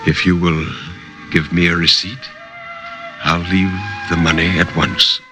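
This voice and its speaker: A man acts out a guarded, impassive messenger in a horror tale. His voice drops low and stays measured and terse. He gives away nothing, sounding evasive and businesslike. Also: flat delivery, over music. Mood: impatient, businesslike.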